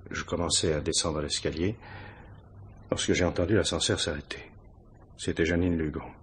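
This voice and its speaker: Casting: middle-aged husband confessing to police, low and very husky, hesitant, self-justifying; vintage radio crime drama. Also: male voice, steady tone, normal speed, exaggerated voice. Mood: defensive, uneasy. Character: anxious married man confessing to a police inspector. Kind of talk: 1950s French radio crime drama acting.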